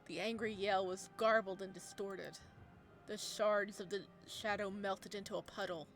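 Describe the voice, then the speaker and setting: A woman who streams games, reading a visual novel aloud and breaking off for excitable commentary. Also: medium pitch, moderate pace, faint music behind. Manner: excitable, laughs at her own voice acting. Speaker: game streamer.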